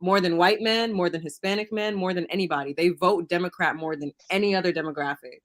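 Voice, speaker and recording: A woman's voice: fairly low pitch, fast talker. A woman in her twenties, a guest in a casual debate, arguing her point emphatically. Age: 20s. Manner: emphatic, argues with figures.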